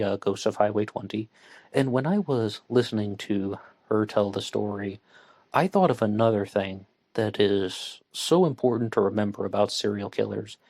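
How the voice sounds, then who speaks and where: A man hosting a true crime podcast, talking through a case in an earnest, measured way with frequent pauses and fillers. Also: lowish voice, a little mellow. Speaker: podcast host.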